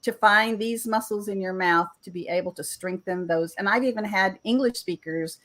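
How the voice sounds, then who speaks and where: Woman coaching clear speech. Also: medium pitch, normal speed. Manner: lively, explains points clearly. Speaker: English communication coach. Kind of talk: casual interview chat.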